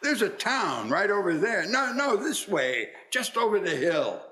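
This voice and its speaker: A man playing an excitable, wistful old miser in a theatrical radio play, exclaiming and stammering when moved. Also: high-pitched, unhurried, noisy background. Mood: awed delight.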